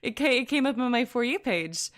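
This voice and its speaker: A woman's voice: fairly high pitch, lively intonation, a little fast. A woman streaming casually to her chat, giggling often and correcting herself mid-sentence. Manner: giggly, chatty, laughs often between sentences. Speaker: VTuber streamer.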